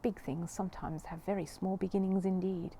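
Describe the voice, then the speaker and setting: A kindly older storybook gentleman, played by a woman, tactful and reflective. The voice is low, soft and mellow, with gentle, measured musing. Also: steady tone, a little hiss or hum, lightly acted.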